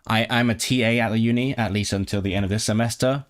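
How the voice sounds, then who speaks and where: A man's voice: lowish voice, fairly even intonation, quick pace. A young man streaming live, chatting casually with viewers about his tutoring work, stopping and restarting as he thinks aloud. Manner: hesitant, many restarts and fillers, thinking aloud.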